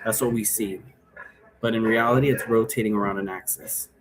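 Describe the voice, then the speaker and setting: A man's voice: fairly low pitch, fairly even intonation, brisk. A man giving a casual, unscripted explanation as a presenter, rambling loosely from one aside to the next. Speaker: presenter explaining an occult role-play game. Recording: clearly noisy room recording.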